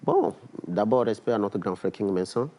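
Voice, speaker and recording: Un rappeur à l'accent togolais qui répond en entretien, avec des hésitations et beaucoup de « euh ». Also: male voice, on the low side, moderate pace. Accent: Togolese French. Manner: hesitant, many fillers, gets excited listing names. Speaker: rapper guest. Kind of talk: casual interview answers.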